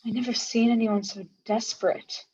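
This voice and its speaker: Woman as a husky, sardonic young female warrior. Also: a little high, steady tone, moderate pace, darkish tone, muffled recording. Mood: grumbling. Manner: sarcastic. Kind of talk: dramatic script reading.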